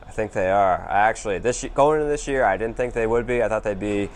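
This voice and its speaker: A man giving conversational sports analysis as a co-host, in a measured way with asides. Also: on the low side. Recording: background noise behind voice.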